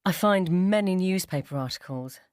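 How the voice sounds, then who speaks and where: Low-voiced woman narrating a ghost story. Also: animated, darkish tone, no room sound, clean studio sound. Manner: suspenseful, measured, acts out dialogue. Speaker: narrator reading a short story. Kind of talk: scripted dramatic first-person ghost story narration.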